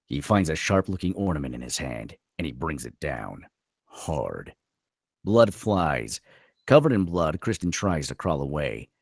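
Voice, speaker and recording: Super deep guy reading screenplay directions, dramatic narration, lots of up and down in pitch. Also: big pitch swings, a little slow, husky, no echo, studio quality. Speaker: stage directions reader.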